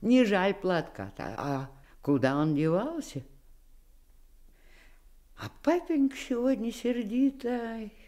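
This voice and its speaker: An actress playing a kindly, fussing nanny in a radio drama, folksy and affectionate. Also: female voice, mid-range voice, animated, slow, long pauses, dark tone. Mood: worried, confiding. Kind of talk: Soviet radio drama acting.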